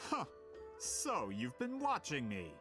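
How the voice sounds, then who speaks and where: A man's voice: fairly high pitch, huge pitch swings, takes it slowly, music in the background, heavily acted. A man voicing a scheming, arrogant game villain who poses as a merchant, with a pompous, sneering delivery. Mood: caught out. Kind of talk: video game voice acting.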